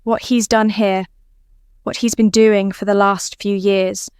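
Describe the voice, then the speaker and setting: A woman's voice: medium pitch, flat delivery, a little slow, a little mellow, clear, resonant tone, no room sound, studio quality, lightly acted. A woman voicing a grave, reluctant military man in a story reading. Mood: grave, troubled.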